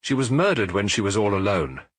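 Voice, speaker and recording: Storybook role: man reading a gentle little priest, grave and imploring, with very varied pitch. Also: big pitch swings, fairly quick, no echo. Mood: quiet, sorrowful. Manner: solemn, imploring. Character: small male priest detective, sorrowful, gentle yet intensely earnest.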